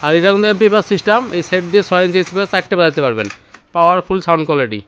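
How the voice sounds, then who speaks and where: High-pitched man giving repetitive sales patter. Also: expressive, rapid-fire, highs cut off, noisy background. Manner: repetitive sales patter urging viewers to look. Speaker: seller presenting used car stereo gear. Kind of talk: informal sales demonstration video.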